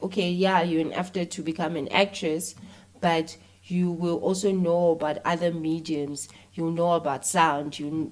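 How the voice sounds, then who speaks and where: South African woman, lower-pitched, explaining casually. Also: rough recording. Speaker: interview guest, actress and filmmaker. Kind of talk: casual conversational interview.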